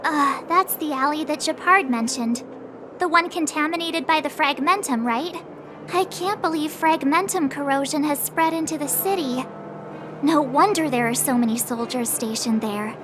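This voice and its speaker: A woman's voice: high voice, normal speed, over music, close to an everyday voice. A woman voicing a perky, curious young girl adventurer in a video game, bubbly, casual and outspoken. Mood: chatty, puzzled.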